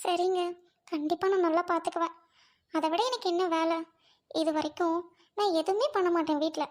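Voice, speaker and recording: A woman voicing a humble young bride who speaks softly and politely to her new family. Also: very high voice, steady tone, normal speed. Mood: soft, pleading. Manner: soft, polite, keeps addressing mother-in-law.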